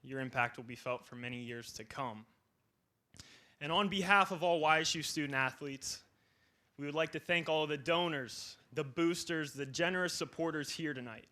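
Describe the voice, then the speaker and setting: A man's voice: a little high, moderate pace, bright, forceful tone. A young man from northeastern Ohio, a student athlete giving an earnest, formal speech of thanks at a banquet. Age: young adult.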